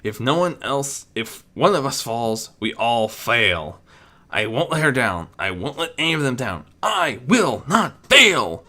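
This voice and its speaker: A man's voice: medium pitch, sing-song, a little slow, sharp tone, pitched above the performer's own voice, exaggerated voice. A man voicing a small young male dragon, an earnest hero who is frightened yet determined, in a dramatic story reading. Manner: stammering, earnest self-encouragement. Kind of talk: dramatic audiobook character voice.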